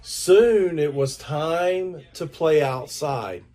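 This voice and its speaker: An older man reading a children's story aloud in a deliberate, expressive storytelling manner. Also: a little high. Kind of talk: scripted story narration read aloud to children.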